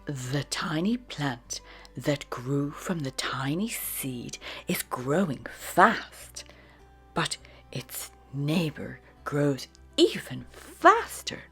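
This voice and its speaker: A woman narrating a children's storybook in a dramatic, expressive read-aloud style. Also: low-pitched, drawn-out words, breathy edge, soft background music. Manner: dramatic, with hushed phrases and sudden exclamations.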